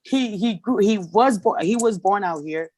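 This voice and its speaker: Tongan-born mother, candid and halting. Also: medium pitch, a little mellow. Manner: candid, halting, restarts often. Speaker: podcast guest. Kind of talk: casual conversation.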